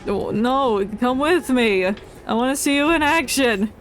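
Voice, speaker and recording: Female game streamer: congested, unhurried delivery, playful mock indignation, faint music behind. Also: sits high, expressive. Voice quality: congested, stuffy nose. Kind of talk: casual live game commentary. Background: game audio and music.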